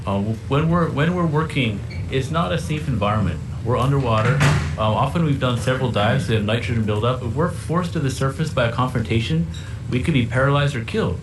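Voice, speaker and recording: A man giving public testimony as a commercial fisherman in a halting, plain-spoken way. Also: mid-range voice. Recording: hearing room with clear background noise.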